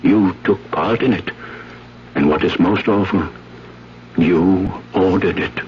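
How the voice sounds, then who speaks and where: A grave, deep-voiced stern priest. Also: male voice, a little hiss or hum. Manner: solemn, deliberate, declamatory. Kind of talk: 1970s radio drama acting. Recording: dull, humming 1970s radio recording.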